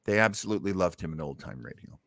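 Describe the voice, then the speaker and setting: Animated man hosting a casual show. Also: sits low, fast talker, dry recording. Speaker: show host. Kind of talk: casual unscripted show presenting.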